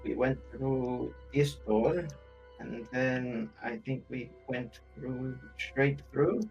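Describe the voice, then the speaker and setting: A man's voice: mid-range voice, steady tone, slow pace, creaky, highs cut off, faint music behind. A man playing in a tabletop roleplay game, talking casually in and out of character.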